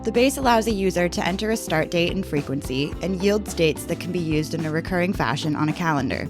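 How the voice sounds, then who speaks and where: A woman's voice: fairly low pitch, animated, fast, music in the background. A woman hosting a podcast, presenting and welcoming guests in a prepared interview style. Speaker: podcast host. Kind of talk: scripted introduction and interview hosting.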